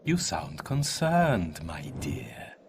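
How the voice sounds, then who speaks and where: A man playing a smug, menacing older rival archaeologist in a video game, mock-courteous and halting, amid loud noise. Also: rumbling low, huge pitch swings, creaky, full character voice. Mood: gloating. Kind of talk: video game cutscene acting. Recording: very noisy old game audio.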